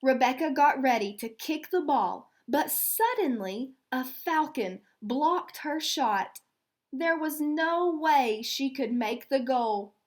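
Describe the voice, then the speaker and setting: A woman teacher reading a story aloud and explaining it in a deliberate, step-by-step way. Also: high voice, expressive. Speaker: elementary school teacher.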